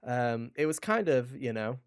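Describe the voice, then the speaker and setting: A man's voice: medium pitch, animated, measured pace, smooth. A man hosting a podcast in a casual, informal chat about video games, rambling a little and addressing listeners directly. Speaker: podcast host.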